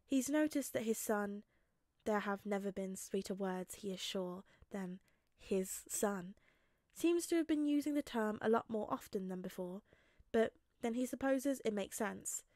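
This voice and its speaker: A woman reading fiction aloud as narrator, expressive and dramatic, with emphatic pauses. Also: medium pitch, no room sound, studio quality. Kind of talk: expressive scripted story narration.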